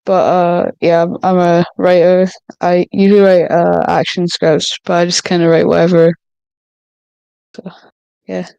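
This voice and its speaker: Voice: mid-range voice, flat delivery, moderate pace, gravelly, darkish tone, clear, resonant tone, no room sound, lo-fi sound. A script writer of unclear sex introducing themself casually on a podcast in a hesitant, offhand way.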